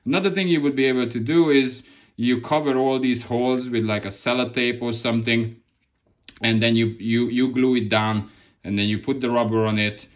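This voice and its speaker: Relaxed man explaining a craft. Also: mid-range voice, moderate pace. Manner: relaxed, loose phrasing with many restarts. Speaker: model-making tutorial host. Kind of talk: casual hands-on tutorial. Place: indoor hobby workspace.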